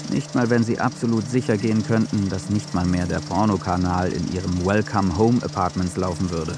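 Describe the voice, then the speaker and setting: An actor playing a film-crew narrator, reading scene directions in a German radio play. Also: male voice, low-pitched, steady tone, normal speed. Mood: observing.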